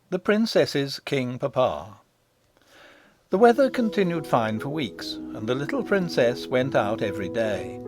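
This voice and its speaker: A man narrating a children's fantasy audiobook in an expressive, scripted storybook style. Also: medium pitch. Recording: voice over prominent background music. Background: music.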